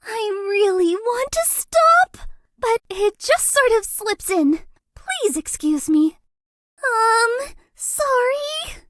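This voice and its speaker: A very high, girlish voice as a cute young female ghost in a video game. She speaks slowly and hesitantly, with a bubbly, self-deprecating sweetness and an apologetic, awkward manner. The sound is close and dry. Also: very animated, fairly bright tone, no room sound, full character voice. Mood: flustered, apologetic.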